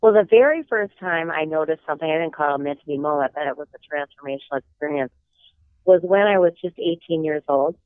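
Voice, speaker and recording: A woman in her sixties with a slight cold-hoarseness, telling personal stories in an earnest, reflective way as an interview guest. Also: low-pitched, fast talker, dry recording. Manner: earnest, reflective, with some hesitant fillers. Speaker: guest author. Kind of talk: interview storytelling. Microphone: telephone. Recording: tinny phone line.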